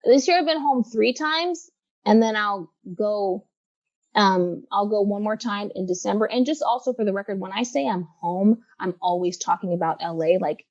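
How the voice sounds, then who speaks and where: A woman in her thirties, a guest from Los Angeles, speaking reflectively in a casual interview with many pauses and fillers. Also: mid-range voice, darkish tone, no echo, lo-fi sound. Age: 30s.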